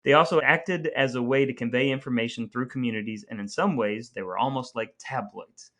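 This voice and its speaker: Man, medium pitch, podcast co-host, casual, chatty, explanatory. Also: fairly quick, fairly bright tone. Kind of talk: casual chat with some reading aloud from an article.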